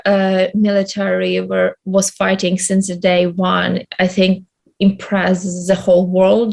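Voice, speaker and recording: A young woman from Ukraine, answering interview questions in a halting way with many hesitations. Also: fairly low pitch, gravelly. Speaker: guest.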